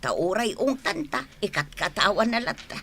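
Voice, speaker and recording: An older Ilocano-accented mother, plaintive and sympathetic. Her delivery swings widely in pitch and is bright, in theatrical radio drama style. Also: very animated, moderate pace, a little hiss or hum. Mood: lamenting. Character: sympathetic, lamenting older mother of the troubled wife.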